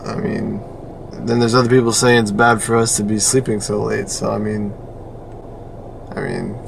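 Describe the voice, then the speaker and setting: A man's voice: fairly low pitch, little pitch movement, normal speed, gravelly, a little hiss or hum. A man who makes music and vlogs, talking casually. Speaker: musician and vlogger. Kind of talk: casual vlog chat.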